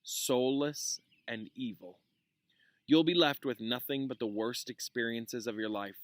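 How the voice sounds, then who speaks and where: A man's voice: medium pitch, a bit bright, dry recording, natural voice. A man voicing a grave, kindly young wizard professor in a storybook read-aloud, solemn and emphatic.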